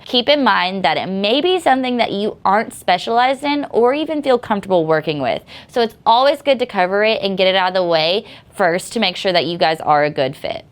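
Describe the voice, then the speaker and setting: Upbeat young woman presenting business tips. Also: medium pitch. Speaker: host of a business tips channel. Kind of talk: scripted instructional presentation.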